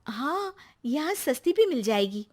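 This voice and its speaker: A woman's voice: high-pitched, sing-song, a little slow, darkish tone, voice pushed up. A woman voicing an emotional young married woman who argues plaintively in a cartoon story. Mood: eager.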